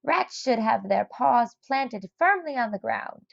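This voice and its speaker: A woman's voice: on the high side, lively intonation, slow, gravelly, no room sound, muffled recording, exaggerated voice. A woman voicing a fussy, disapproving female animal neighbour in a storybook, scolding and emphatic. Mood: scolding warning.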